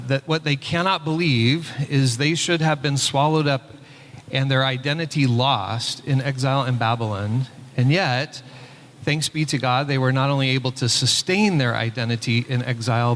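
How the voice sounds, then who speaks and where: Man, conference paper talk, relaxed with fillers, middle pitch, long hall echo. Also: normal speed, a little hiss or hum. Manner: relaxed, speaks with frequent "uh" fillers. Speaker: pastor and church superintendent presenting a paper.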